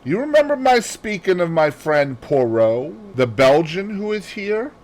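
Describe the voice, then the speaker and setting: A man voicing an earnest, insistent gentleman in a mystery audiobook. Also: on the high side, big pitch swings, measured pace, voice pushed up. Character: earnest gentleman narrator proposing a detective to friends.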